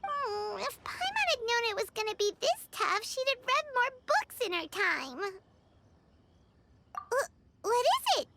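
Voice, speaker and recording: A woman voicing a tiny, excitable, childlike fairy companion in a video game, with a squeaky voice. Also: measured pace, vocal fry, a little hiss or hum, full character voice. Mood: thoughtful. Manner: speaks of self in third person. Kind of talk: animated video game character acting.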